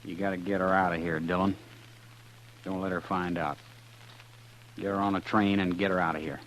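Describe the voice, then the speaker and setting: Defiant young prisoner, deep voice, sullen, clipped phrases, 1950s radio western, faint hum. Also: male voice, steady tone, moderate pace, a bit bright, low-quality recording. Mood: urgent, pleading. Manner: terse, sullen. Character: bitter, defiant young male outlaw in jail.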